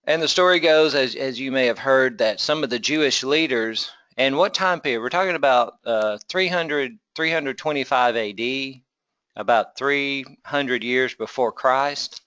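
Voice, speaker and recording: A man gives an informal classroom lecture in a bright, forceful voice. His tone is clean and smooth, and he explains conversationally, tossing out rhetorical questions as he goes. The recording is somewhat dull and lo-fi. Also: medium pitch, measured pace, dry recording. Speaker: teacher of a Bible survey class.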